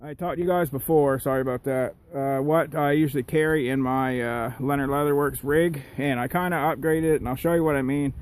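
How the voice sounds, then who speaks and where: A man's voice: steady tone, a little fast, hardly any pauses. A middle-aged man, talking casually and ramblingly to his viewers in a folksy, conversational way. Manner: folksy, conversational, keeps addressing viewers as guys. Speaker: outdoors vlogger. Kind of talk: casual rambling vlog to camera. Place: outdoors in rural woodland. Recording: noisy outdoor recording with ambient rumble.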